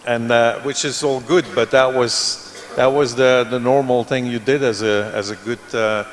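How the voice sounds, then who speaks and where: A man's voice: mid-range voice, fairly even intonation, moderate pace. A man from Belgium speaking on a conference panel, telling a long, rambling anecdote. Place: large conference hall. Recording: reverberant conference hall.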